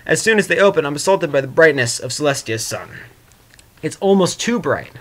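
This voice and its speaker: A man's voice: fairly high pitch, expressive, fairly quick, a bit bright. A man voicing a sardonic chaos spirit trapped in stone, in a dry, skeptical inner monologue for a story reading. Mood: disoriented.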